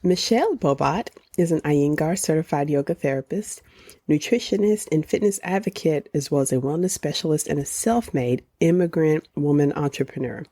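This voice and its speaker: A woman hosting a podcast, reading a slow, deliberate introduction before her interview. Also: low-pitched, warm tone, no room sound. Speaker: podcast host. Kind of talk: scripted intro, then interview questions.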